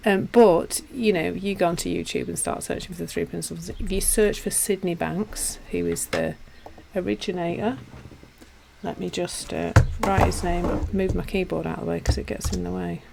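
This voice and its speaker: Chatty Yorkshire woman, slightly low voice. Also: expressive, moderate pace, vocal fry, noisy background. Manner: chatty and self-deprecating, with frequent restarts and laughs. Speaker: meditation teacher. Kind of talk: live-stream chat with viewers.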